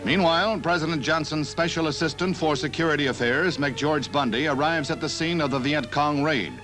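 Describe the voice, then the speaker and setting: A man's voice: fairly high pitch, normal speed. A man with an American accent reading a formal, scripted 1960s newsreel narration in a declarative announcer style. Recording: 1960s newsreel soundtrack with music. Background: newsreel music.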